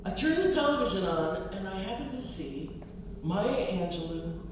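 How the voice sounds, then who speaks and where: A woman's voice: medium pitch, lively intonation, moderate pace. A woman poet and professor speaking expressively and emphatically at a live reading, using repetition for effect. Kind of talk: live poetry reading and audience talk. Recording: distant, very echoey, noisy room.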